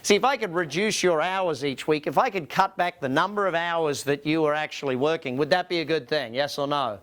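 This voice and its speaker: Higher-voiced Australian man presenting a seminar. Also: a little fast, fairly bright tone. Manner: emphatic, rhetorical questions to listeners. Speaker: business coach and company founder, presenting. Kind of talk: motivational seminar presentation to an audience.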